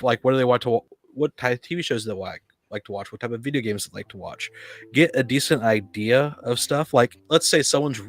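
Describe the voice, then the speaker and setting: A man's voice: medium pitch, fairly bright tone. Man rambling casually over music. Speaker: podcast panelist. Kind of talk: casual panel discussion.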